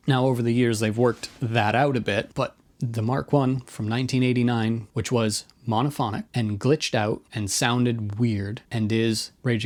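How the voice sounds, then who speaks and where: A man with a mid-pitched voice hosts a guitar effects video as a casual monologue. He explains things informally, states his own opinions freely and undercuts himself with wry, self-deprecating asides. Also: a little mellow.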